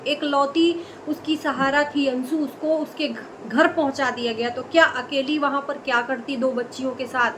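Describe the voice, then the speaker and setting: Indignant high-voiced woman scolding viewers. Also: a little hiss or hum, low-quality recording. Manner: indignant, scolding, rhetorical questions. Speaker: video commentator. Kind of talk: impassioned commentary monologue.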